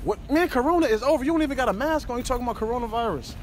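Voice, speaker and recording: A man's voice: extremely high pitch, racing, a crowd in the background. A young man hosting a prank video, talking with brash, boastful swagger outdoors and then inside a parked car. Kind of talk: casual street prank banter.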